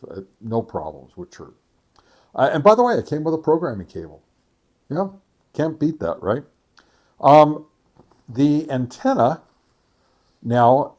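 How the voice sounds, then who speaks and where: Dark-voiced man giving a casual review. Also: mid-range voice. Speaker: amateur radio gear reviewer. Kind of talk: casual product review talk.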